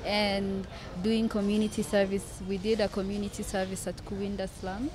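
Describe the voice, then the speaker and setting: A woman in her twenties from Rwanda speaks as a podcast guest at a medium pitch. She tells her story conversationally and emphatically, repeating phrases to drive points home. She is close to the microphone. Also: level tone, moderate pace. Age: 20s. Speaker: podcast guest, law student. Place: open-air covered café terrace. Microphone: close podcast microphone on table stand.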